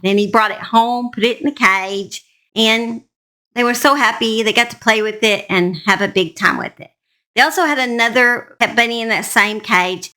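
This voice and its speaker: A woman's voice: on the high side, bright, forceful tone. A woman host narrating a true crime story in an informal, plain-spoken, conversational way, speaking straight to her listeners.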